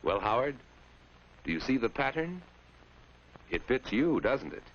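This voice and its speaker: A man's voice: mid-range voice, sing-song, unhurried. A man playing a knowing, probing narrator of a 1950s educational film, pointed and didactic, addressing the character directly. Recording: muffled 1950s film soundtrack.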